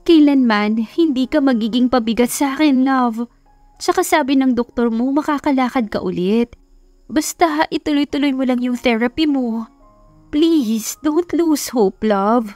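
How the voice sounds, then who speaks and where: A woman's voice: high-pitched, a little slow, a little mellow, no echo, soft background music, voice pushed up. A woman voicing a patient, devoted young wife, tender and pleading, in a romance audiobook. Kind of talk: dramatized audiobook romance reading.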